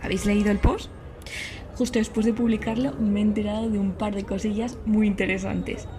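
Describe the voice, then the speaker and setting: Actress playing a bubbly young Spanish student vlogger, noisy ambience. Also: female voice, medium pitch, fairly even intonation, brisk, natural voice. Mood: delighted. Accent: Spanish from Spain. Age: young adult. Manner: chatty, bubbly, informal. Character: eager, chatty young female history student recording travel stories. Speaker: podcast character, student vlogger. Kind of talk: acted first-person vlog monologue. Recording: clear background noise, ambient sound.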